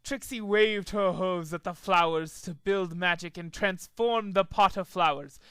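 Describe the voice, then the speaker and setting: A man's voice: high-pitched, a little slow, a bit bright, smooth, no echo, studio quality. A man reading a story aloud as a dramatic amateur narrator, sometimes stumbling over the words. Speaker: amateur fan fiction reader.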